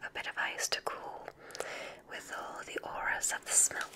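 A woman performing a witch examiner ASMR roleplay in a breathy whisper, with a gentle, soothing, deliberate and encouraging manner.

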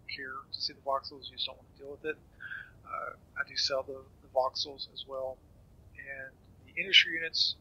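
A man talks through a game tutorial in a very bright, forward voice with a husky, raspy grain. His delivery is casual and unscripted, with hesitant pauses and phrases that trail away. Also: mid-range voice, rough recording. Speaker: gamer giving a tutorial. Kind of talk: casual game walkthrough commentary.

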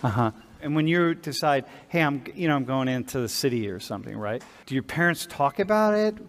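An older man, a sociology professor, leading a class discussion in a large lecture hall, animated and restless. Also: mid-range voice, brisk, slight background noise. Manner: animated, restless, gestures while pacing. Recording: long hall reverb.

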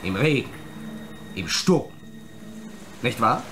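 An actor playing a menacing, brooding film director in a radio drama, an abandoned son who accuses with insistent rhetorical questions. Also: male voice, medium pitch, very animated, slow, fairly bright tone, slight background noise. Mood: menacing, bitter.

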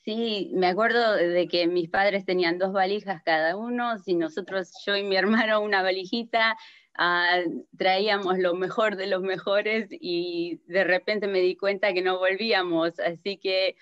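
A woman's voice: mid-range voice, fairly even intonation, measured pace, no echo. A woman from Argentina, a guest sharing her personal story over a video call from her home. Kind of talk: personal testimony. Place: home living room. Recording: dull video call audio.

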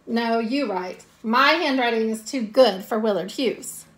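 A woman's voice: fairly high pitch, a little slow, slight background noise, natural voice, poor audio. Woman as a doubtful storybook schoolboy.

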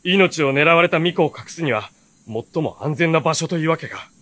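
An earnest, lively teenage boy hero. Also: fairly high pitch, moderate pace, dry recording. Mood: earnest, reasoning. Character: earnest, sharp-witted teenage boy, the story's narrating hero. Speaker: radio drama actor. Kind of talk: radio drama acting with first-person narration.

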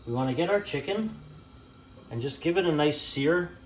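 A young man hosting a home cooking channel, talking through a recipe step by step in a relaxed, plain-spoken way with small fillers and self-corrections. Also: a little high, moderate pace, slight background noise, rough recording. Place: home kitchen at the stove.

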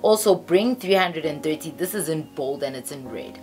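A woman in her twenties with a South African accent tells a story casually to camera, chatty and halting, sometimes reading aloud. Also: low-pitched, lively intonation, darkish tone. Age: 20s. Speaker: storytime vlogger. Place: small bedroom, indoors. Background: background music.

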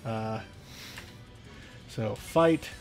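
A young adult man hosting a casual software tutorial, thinking aloud in an informal, playful, rambling way. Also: on the low side, extremely slow, soft background music. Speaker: tutorial host. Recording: clear background noise.

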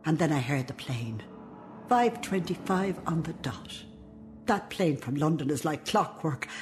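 A devoted old Irish woman in a radio drama. Her voice is on the low side and very animated in pitch, homely and heartfelt, with faint music behind. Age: older. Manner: expressive, heartfelt. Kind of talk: radio drama narration and dialogue.

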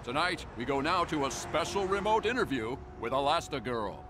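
A man playing a polished television news anchor in an animated game. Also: fairly high pitch, normal speed, very noisy. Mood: composed. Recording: loud music behind voice.

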